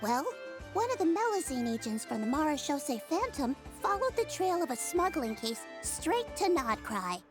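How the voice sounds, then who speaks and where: Earnest, helpful Melusine creature; high and lively, over game music. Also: female voice, moderate pace. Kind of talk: video game voice acting.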